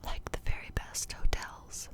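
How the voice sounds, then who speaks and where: A woman's voice: measured pace, natural voice. Woman reading as a playful whispering young woman. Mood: breezy, teasing.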